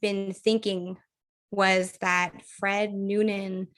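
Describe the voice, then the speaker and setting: Chatty podcast woman, slightly croaky. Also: mid-range voice, little pitch movement, drawn-out words, fairly bright tone. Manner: chatty, laughs often, thinks aloud with fillers. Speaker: podcast co-host. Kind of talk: casual chat.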